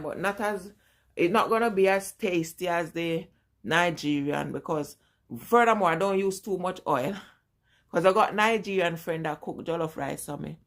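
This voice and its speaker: A Jamaican woman in her forties talks straight to her viewers in a relaxed live-stream chat. Her voice sits a little low, and she drives her points home by repeating them with emphasis. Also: creaky. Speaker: vlogger.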